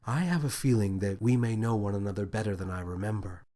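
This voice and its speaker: A man voicing a gentle, wise female alien officer with a soft, soothing, measured delivery in an audio story. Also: fairly low pitch, lightly acted. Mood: gentle, grateful. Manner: calm, measured, thoughtful.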